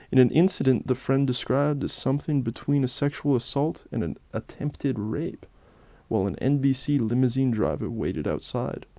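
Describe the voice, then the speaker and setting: Male narrator, mid-pitched and mellow, solemn dramatic read with satirical edge, dry recording. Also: gravelly, no echo. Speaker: host and narrator of a Halloween special.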